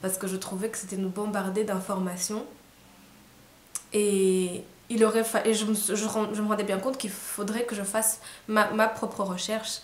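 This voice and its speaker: A woman's voice: mid-range voice, flat delivery, moderate pace. Tired hesitant young woman. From Rwanda. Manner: hesitant, many fillers and restarts, soft laughs. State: tired, late at night. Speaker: vlogger. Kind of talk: casual reflective monologue to camera. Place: small room indoors. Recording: roomy echo, faint hiss.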